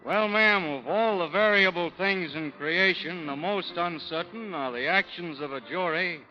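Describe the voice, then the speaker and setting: A man's voice: high voice, unhurried. An actor playing a laconic frontier gunman with a dry, folksy drawl in a vintage radio drama. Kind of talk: 1930s radio acting. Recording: muffled vintage radio recording, music behind.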